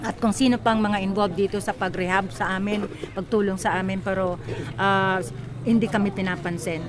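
Older woman, activist interview, impassioned advocacy, middle pitch, busy crowd behind. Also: steady tone, normal speed. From Philippines. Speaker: activist, disaster survivor spokesperson. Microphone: handheld reporter microphone. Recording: street crowd and traffic noise.

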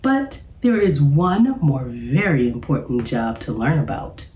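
A woman's voice: extremely low pitch, lively intonation, unhurried, warm tone, far from the mic. A woman voicing a cheerful, instructive male bear professor from a children's storybook, encouraging and emphatic, in an echoey room. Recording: roomy, echoey sound.

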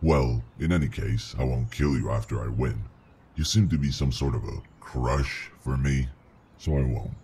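A man's voice: a bass voice, normal speed, darkish tone, a little hiss or hum, pitched below the performer's own voice. A man voicing a commanding, threatening demon bent on killing humans in an audio roleplay. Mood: cold, menacing.

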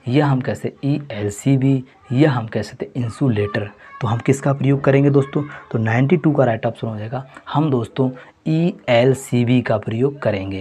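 A man with a husky, slightly breathy voice works through a mock test as a teacher. His pitch sits in an ordinary middle range. He repeats the answers and keeps calling his viewers friends. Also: warm tone. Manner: repetitive, addresses viewers as friends. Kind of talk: exam question walkthrough.

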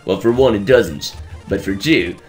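A young man with a Belgian accent, raspy from just waking up, giving rambling, joking commentary over a game he is playing and often stumbling over his words. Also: lowish voice, measured pace, faint music behind. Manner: rambling, stammering restarts, joking, laughs often. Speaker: let's play host. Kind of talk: casual gameplay commentary.

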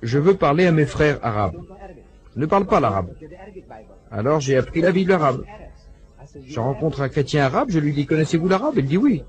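A man voicing a lecture in a translated voice-over, telling anecdotes with animated delivery and acting out the quoted dialogue. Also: on the high side, a little fast, pauses often.

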